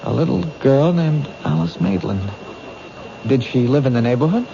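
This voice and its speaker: An actor playing an earnest, friendly police detective, plain-spoken and persistent, in a radio drama. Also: male voice, mid-range voice, animated, normal speed, vocal fry. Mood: probing. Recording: muffled, noisy old radio recording.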